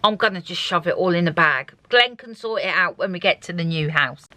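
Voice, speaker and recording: A woman's voice: on the low side, expressive, fairly quick, bright, forceful tone, no room sound. A woman hosting her own video diary in an upbeat, chatty way, talking straight to the camera from around her narrowboat. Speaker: vlog host. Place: narrowboat and outdoors.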